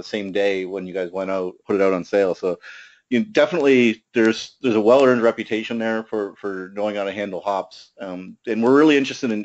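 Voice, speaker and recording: A man hosting a beer podcast, asking questions in a halting, casual way with many restarts. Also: on the low side, darkish tone, lo-fi sound. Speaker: podcast host. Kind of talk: casual interview.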